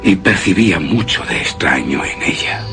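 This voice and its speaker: An actor playing a grave, obsessed man who rapturously recalls his beloved in a solemn, savoring radio horror monologue with hushed emphases. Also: sits low, lively intonation, moderate pace, soft background music, heavily acted. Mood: rapt, brooding. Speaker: narrator of a horror story. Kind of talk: dramatic radio theatre monologue.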